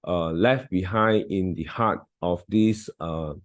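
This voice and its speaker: A man's voice: sits low, slow pace, no room sound, clean studio sound. A man with a Malaysian English accent, not a native English speaker, giving a hesitant volunteer talk with many fillers and restarts over a video call.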